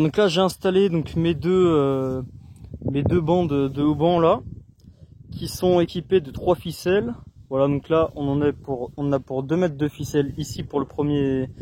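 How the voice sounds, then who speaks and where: A man's voice: a little high, darkish tone, slight background noise. Hesitant man explaining his setup outdoors. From France. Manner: hesitant, many fillers and restarts. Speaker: CB radio hobbyist demonstrating his gear. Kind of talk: casual how-to explanation. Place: outdoors on open ground.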